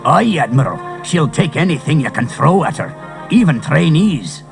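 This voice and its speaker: A man's voice: fairly high pitch, very animated, normal speed, vocal fry. An actor playing a loyal, emphatic male chief engineer in an audio space adventure. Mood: proud. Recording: cassette transfer, loud music underneath.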